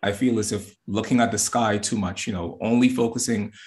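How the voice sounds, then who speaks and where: A man's voice: lowish voice, steady tone, fairly quick, a little mellow. A man from the Bahamas, a guest astrologer and yoga teacher, talking earnestly in a casual interview and often restarting his words.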